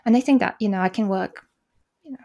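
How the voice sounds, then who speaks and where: A woman's voice: mid-range voice, quick pace, dark tone. Polish lady, 40s, talking through her job on a video call, lots of um and restarts. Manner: explanatory, frequent restarts and fillers, occasional laughs. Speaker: conference interpreter, guest. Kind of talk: casual interview over video call. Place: small room indoors. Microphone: video call, desk mic.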